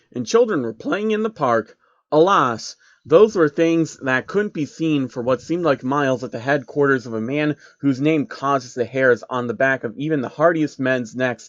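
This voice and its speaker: Guy reading fanfic out loud, kinda wry and casual, dry muffled mic. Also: mid-range voice, no room sound. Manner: informal, wry, amused asides. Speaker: narrator reading fan fiction aloud. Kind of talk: casual commentary and amateur storytelling.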